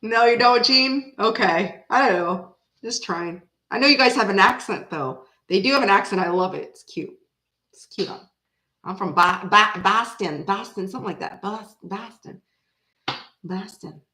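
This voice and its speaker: A woman in her forties, raised in Florida and bilingual in French, with an American accent. She chats casually with live viewers, rambling and breaking into sudden animated bursts. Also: mid-range voice, moderate pace, roomy sound. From Canada, raised in Florida. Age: 40s. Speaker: tarot reader chatting with live viewers. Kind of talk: casual livestream chat.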